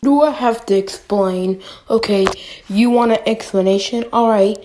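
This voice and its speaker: A woman with a fairly high voice hosts a ranking video. She talks informally and emphatically, delivering opinionated commentary as she works through her list. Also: fairly even intonation, moderate pace, a little mellow, slight background noise, low-quality recording. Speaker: host of a fan ranking video.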